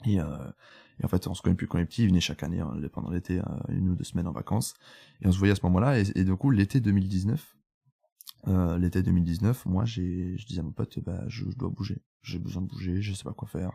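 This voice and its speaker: A man's voice: sits low, fast, creaky, warm tone, slightly rough. A man in his twenties from Italy, speaking French as a podcast guest, telling his story candidly with lots of fillers and restarts. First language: Italian. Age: 20s. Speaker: guest photographer. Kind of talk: casual podcast interview.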